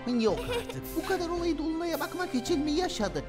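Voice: very high voice, lively intonation, fairly quick. An actor voicing a grumpy, boastful, complaining animal in a children's cartoon, with exaggerated delivery. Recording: loud music under the voice.

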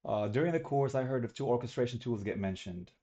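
A man's voice: medium pitch, expressive, fast, dark tone. A young man from Algeria giving a recorded student presentation on a webcam, talking casually and correcting himself as he goes. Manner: casual, self-correcting, slightly rambling.

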